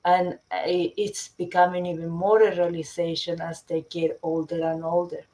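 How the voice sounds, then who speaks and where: A middle-aged woman from Chile, a company founder presenting her product in a webinar, often pausing with fillers and restarting sentences. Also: fairly low pitch, level tone, dark tone, rough recording. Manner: hesitant, many fillers and restarts.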